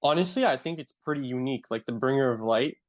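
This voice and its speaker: A man's voice: medium pitch, fast. Laid-back young Canadian man. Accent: Canadian English. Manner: relaxed, filler-heavy, says "like" often. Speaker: guest. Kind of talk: casual interview.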